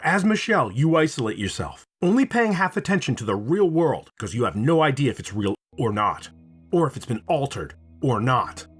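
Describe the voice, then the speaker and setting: A man narrating a scripted video essay in a dramatic, expressive storytelling manner, with casual swearing. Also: mid-range voice, slightly rough. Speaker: video host, reviewer.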